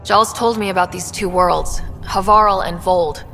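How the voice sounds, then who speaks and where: Earnest expedition leader, reverberant space. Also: female voice, on the low side, fairly even intonation, unhurried, creaky, a bit bright, faint music behind. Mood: businesslike. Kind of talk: scripted video game acting.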